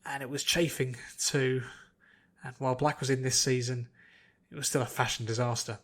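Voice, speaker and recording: A man reads fantasy fiction aloud as a narrator. His mid-pitched voice carries a scripted audiobook delivery. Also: level tone, moderate pace, fairly bright tone.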